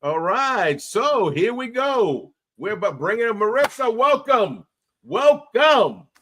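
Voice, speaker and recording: A man hosting a casual interview podcast, talking in a halting way with many fillers and restarts. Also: extremely high pitch, sing-song, fairly bright tone. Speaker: podcast host.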